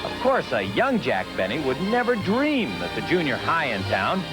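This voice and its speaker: A man's voice: a little high, huge pitch swings, normal speed, music in the background, poor audio. A man narrating a TV magazine feature in a playful, wry scripted delivery. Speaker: TV reporter.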